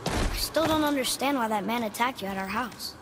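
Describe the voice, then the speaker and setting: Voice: medium pitch, brisk, noisy background, lightly acted. A young performer playing an eager boy, a warrior's son anxious to prove himself, in a video game. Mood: curious. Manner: youthful, eager, inquisitive. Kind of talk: video game character acting.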